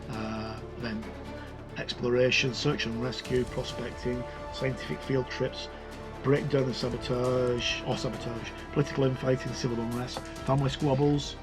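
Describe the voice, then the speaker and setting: A man's voice: medium pitch, steady tone, moderate pace. A man giving a casual, unscripted review as he leafs through a book, hesitantly listing items aloud. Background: music.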